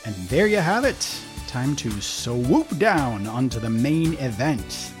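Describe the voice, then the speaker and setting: A man hosting a music podcast, asking loose, halting questions with "uh" fillers. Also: medium pitch. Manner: relaxed, hesitant questions with "uh". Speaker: podcast host, interviewer. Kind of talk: podcast interview.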